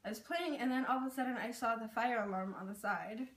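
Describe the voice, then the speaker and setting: A young woman whose first language is Karen tells her stories in a chatty, casual way, full of "like" and "um". Her voice sits a little high. She is recorded from a distance in a roomy space. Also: fast. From Burma, raised in Thailand. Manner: chatty, with many "like" and "um" fillers. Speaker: interviewee sharing her experience. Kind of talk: casual personal storytelling.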